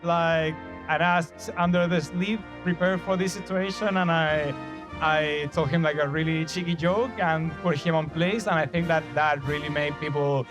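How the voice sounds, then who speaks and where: A man's voice: on the high side, steady tone, normal speed. A man from Chile with a Chilean Spanish accent, a native Spanish speaker and comedian, chatting casually in an interview. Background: background music.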